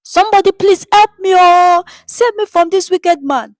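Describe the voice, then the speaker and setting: A woman's voice: very high-pitched, moderate pace, no room sound, clean studio sound, pitched above the performer's own voice. A woman voicing a pregnant con woman in a storytelling, tearfully pleading and accusing. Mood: frantic pleading. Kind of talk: dramatic storytelling dialogue.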